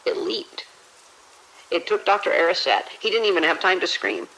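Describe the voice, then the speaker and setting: A man playing a terrified, trapped hospital worker in an audio drama, stammering and halting with fear. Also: high-pitched, pushed and bright, rough recording.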